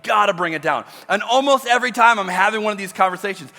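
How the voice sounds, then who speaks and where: A middle-aged male pastor with a loud voice preaching a sermon, swinging from loud emphasis to quiet, intimate asides. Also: high voice, fast. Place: large church auditorium. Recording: reverberant hall with faint music behind.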